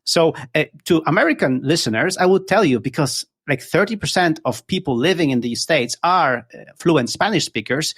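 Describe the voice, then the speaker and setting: A man's voice: fairly high pitch, lively intonation, moderate pace, hardly any pauses, a bit bright, breathy edge. A man from Poland with a Polish accent, a guest on a podcast, explaining ideas eagerly with examples. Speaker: guest, app founder. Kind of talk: casual interview chat.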